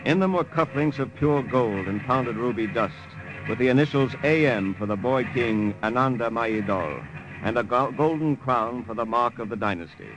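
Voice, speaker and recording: An actor playing a steady, plain-spoken American secret agent in an old radio drama. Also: male voice, mid-range voice, normal speed, over music, lightly acted, low-quality recording. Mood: steady narrating. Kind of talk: 1950s radio drama narration and acting.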